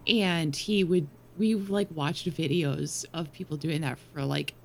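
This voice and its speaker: A woman's voice: sits low, a little slow, gravelly, darkish tone, slight background noise. A woman co-hosting a podcast in casual, playful chat, often laughing. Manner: giggly, playful. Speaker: podcast co-host.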